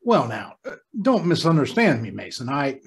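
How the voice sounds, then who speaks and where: An actor playing a courteous senior hospital doctor in an old-time radio drama, formal, halting and apologetic. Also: male voice, mid-range voice, big pitch swings. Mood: awkward, apologetic.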